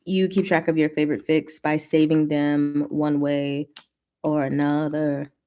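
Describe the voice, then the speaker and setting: Casual woman livestreamer with a lowish voice. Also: little pitch movement, a little slow, dark tone. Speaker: livestream host answering viewer questions. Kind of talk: casual livestream chat, reading comments aloud.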